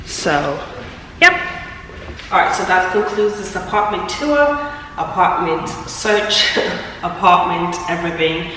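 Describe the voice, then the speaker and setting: Raspy young woman in echoey empty room. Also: lowish voice, lively intonation, takes it slowly, fairly bright tone. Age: young adult. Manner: chatty, rambling with many fillers. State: sick. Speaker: vlogger. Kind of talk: casual home tour vlog. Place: empty apartment, indoors. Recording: echoey empty apartment with hum.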